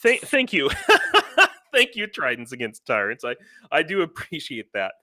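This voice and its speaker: A man's voice: on the high side, huge pitch swings, measured pace, bright, forceful tone, dry recording, clean studio sound. A man hosting a casual livestream interview, rambling a little and often repeating himself as he feels for his words. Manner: hesitant, rambling, repeats phrases.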